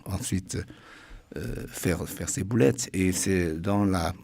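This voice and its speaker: A man from China, speaking French with a Chinese accent, a guest author in a radio interview who talks haltingly with many restarts. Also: low-pitched, gravelly, darkish tone.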